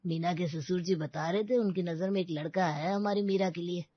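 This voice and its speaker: A woman's voice: fairly low pitch, moderate pace, no room sound, highs cut off, lightly acted. A woman playing an earnest father of a grown daughter in an audio drama. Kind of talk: Hindi audio drama acting.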